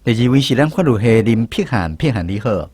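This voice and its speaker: A man with a Taiwanese accent and a slightly husky voice, hosting a language-teaching segment on radio. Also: mid-range voice, big pitch swings, a little fast, a little mellow, slight background noise. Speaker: radio programme host.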